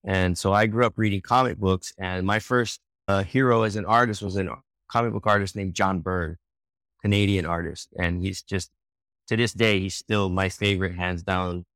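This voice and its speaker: A man in his forties from Hawaii with a fairly low voice, answering questions in a relaxed, easygoing way. He laughs now and then and restarts his sentences. The recording is dry and close, with no room sound. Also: no room sound. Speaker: guest, children's book author and illustrator. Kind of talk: casual interview conversation.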